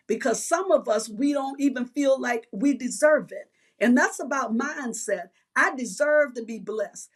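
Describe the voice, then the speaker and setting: Woman, mindset coach, high voice, fervent, affirming, repeats phrases for emphasis. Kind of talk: interview guest.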